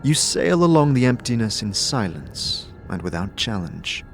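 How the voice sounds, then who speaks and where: A man narrating an audio drama in the second person in a measured, dramatic storytelling manner. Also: lowish voice, very animated, creaky, faint music behind.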